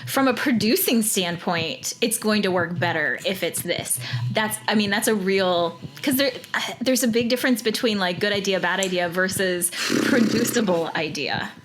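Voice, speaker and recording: A woman's voice: mid-range voice, moderate pace, soft background music. A woman hosting a casual interview, asking questions and reflecting back what she hears. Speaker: interviewer, host.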